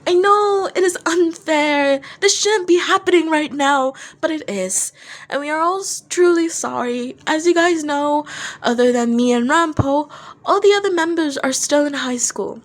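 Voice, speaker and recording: Woman as a higher-pitched, theatrical male band showman. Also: moderate pace, close to an everyday voice. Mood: regretful. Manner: playful, coaxing, pitched up. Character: teasing, theatrical male rock band singer, smooth showman. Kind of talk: acted dialogue in fan fiction reading. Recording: noticeable background noise.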